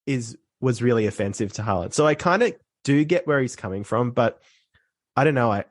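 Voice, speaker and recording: A man hosting a casual podcast conversation, explaining things informally and haltingly into a close microphone. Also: mid-range voice, lively intonation, dry recording.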